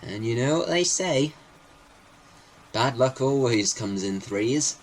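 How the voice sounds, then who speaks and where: A man's voice: mid-range voice, expressive, slow, slight background noise, poor audio. A man playing an anxious, stubborn nephew searching for a missing loved one, with a nervous, dramatic manner, in an audio drama. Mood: agitated, stubborn.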